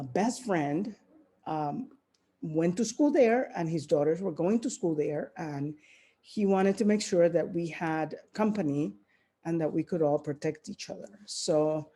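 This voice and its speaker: A woman's voice: fairly low pitch, dark tone. A woman from Puerto Rico giving a reflective keynote talk over a video call, with many fillers and restarts. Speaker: keynote speaker.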